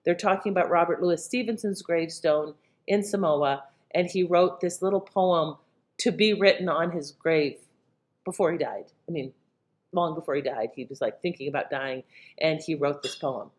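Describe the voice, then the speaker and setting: A woman's voice: sits low, normal speed. A woman giving an informal, conversational explanation as a presenter. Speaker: presenter introducing an old radio play. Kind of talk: casual explanatory talk.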